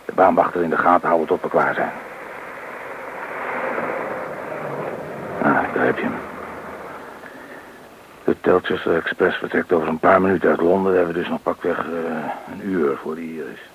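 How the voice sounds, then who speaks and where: A man playing a calm, calculating gang leader in a Dutch radio play, measured and commanding. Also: low voice, close to an everyday voice. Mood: calm, focused. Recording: dull, noisy old radio play recording.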